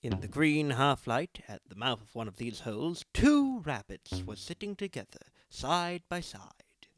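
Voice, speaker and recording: A man reading scripted storybook narration. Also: mid-range voice. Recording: music behind the voice.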